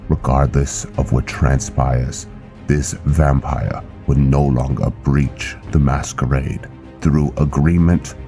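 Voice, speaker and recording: A man playing a stern, honor-bound vampire knight officer in a game roleplay, speaking in a formal, solemn, measured way. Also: extremely low pitch, fairly even intonation, dark tone, faint music behind, full character voice. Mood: solemn, calculating. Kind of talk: scripted roleplay narration and game dialogue.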